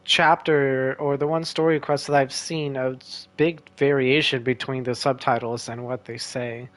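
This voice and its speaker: A man's voice: medium pitch, level tone, normal speed, a bit bright. Laid-back man muttering over gameplay. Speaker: gamer playing and commenting. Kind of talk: casual gameplay commentary. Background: game music.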